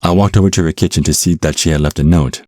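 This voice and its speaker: A man's voice: very deep voice, fairly even intonation, fast, darkish tone, no room sound, clean studio sound. A man acting a giddy, rattled young man in an audio drama, sometimes rambling and stammering. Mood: reflective. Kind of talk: audio drama narration and phone dialogue.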